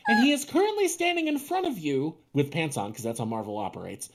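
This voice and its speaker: A woman's voice: deep voice, sing-song, quick pace. A woman co-hosting a table read, narrating stage directions with sardonic, sweary and incredulous asides. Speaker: podcast co-host reading stage directions. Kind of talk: script narration with casual sarcastic commentary.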